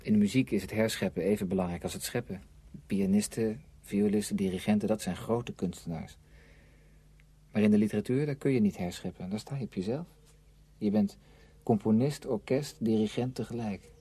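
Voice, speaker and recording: Low, mellow, brooding novelist. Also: male voice, a little fast, slightly rough, no echo. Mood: earnest, bitter. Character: brooding, self-tormented male novelist, bitter and intense. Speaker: actor in a radio play. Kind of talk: Dutch radio play acting.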